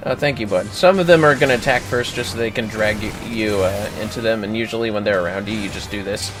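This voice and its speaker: A man's voice: lowish voice, fast talker. A man livestreaming gameplay, casually explaining tactics step by step with informal, sweary commentary over music. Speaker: livestreamer, gaming host. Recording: music playing behind the voice.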